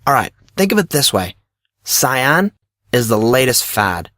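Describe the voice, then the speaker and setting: A man's voice: mid-range voice, big pitch swings, a little slow, sharp tone, dry recording, clean studio sound. A man playing a cocky, breezy teenage schoolboy who talks in slangy, self-assured teasing for an audio drama. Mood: cocky, explaining. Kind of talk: audio drama character reading.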